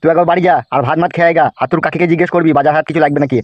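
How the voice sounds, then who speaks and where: A man voicing a bossy, gruff village strongman in a Bengali comedy cartoon, talking in slangy, foul-mouthed rural speech. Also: a little high, steady tone, racing, muffled recording, background noise, natural voice. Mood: irritated. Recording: music behind the voice.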